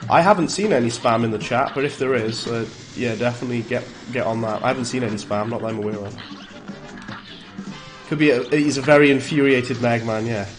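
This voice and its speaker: A Welsh-accented man talks through a live game stream in a relaxed, chatty way, greeting viewers and reacting out loud as he plays. His voice sits in an ordinary middle pitch. Faint game music runs quietly behind him. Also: fairly quick. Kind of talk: live gameplay commentary and chat replies.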